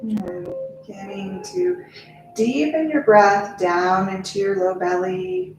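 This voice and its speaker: Slow, echoing woman guiding meditation. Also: mid-range voice, lively intonation. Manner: gentle, unhurried, with short spaced-out phrases. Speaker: yoga teacher. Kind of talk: guided meditation, yoga nidra instruction. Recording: very echoey, music under the voice. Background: music.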